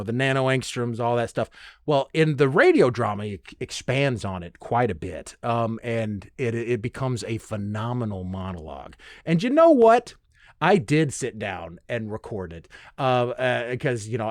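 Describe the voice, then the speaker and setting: A man hosting a solo podcast, talking casually in an animated, emphatic way and swearing freely. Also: mid-range voice, no room sound, studio quality. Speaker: podcast host.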